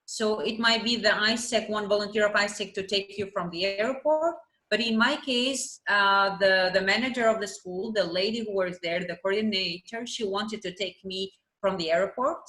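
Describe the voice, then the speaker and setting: A woman's voice: medium pitch, normal speed, hardly any pauses, bright, forceful tone. Young Albanian woman in an echoey room. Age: 20s. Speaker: interview guest, math teacher. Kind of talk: conversational interview answers. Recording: roomy, echoing sound.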